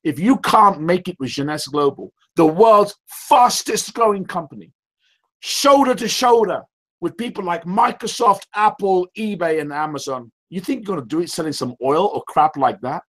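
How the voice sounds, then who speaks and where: Male call host, loud, high voice, emphatic, pushy repetition. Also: lively intonation, normal speed. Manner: emphatic, repetitive, rhetorical questions. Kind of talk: motivational sales call. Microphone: call audio.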